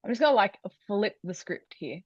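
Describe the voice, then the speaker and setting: Woman podcast host, halting, filler-heavy, close dry mic, slightly dull. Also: medium pitch, very animated, husky, no room sound. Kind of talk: casual interview chat.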